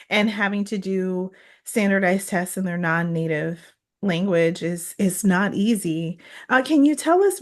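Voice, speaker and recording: A woman hosting an interview show as a psychologist and exam coach, speaking in a conversational interview style. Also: mid-range voice.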